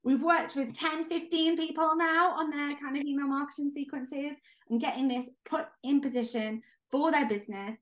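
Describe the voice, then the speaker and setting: A woman co-hosting a podcast in casual, enthusiastic chat, her voice going hoarse as she is losing it. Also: sits high, fairly even intonation, normal speed, fairly bright tone. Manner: enthusiastic, chatty. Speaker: podcast co-host.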